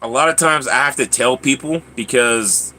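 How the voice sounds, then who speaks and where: Guy livestreaming, bright forward voice, fake british accent, rambly playful storytelling. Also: mid-range voice, normal speed. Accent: put-on British. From Louisiana, United States. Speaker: livestream host. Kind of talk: casual livestream chat with anecdotes.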